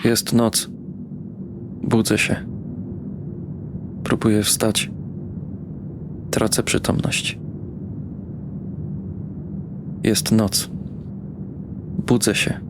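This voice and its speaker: An actor playing a bitter, brooding young man in an audio drama, narrating and speaking in a weary, terse deadpan. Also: lowish voice, drawn-out words, long pauses, background noise. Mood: weary, struggling. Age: young adult. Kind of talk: audio drama first-person narration and dialogue.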